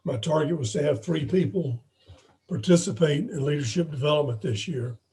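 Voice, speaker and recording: A hoarse man, speaking haltingly and often clearing his throat, gives an informal report as club president on a video call. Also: a little high, dark tone, rough recording. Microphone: video call microphone.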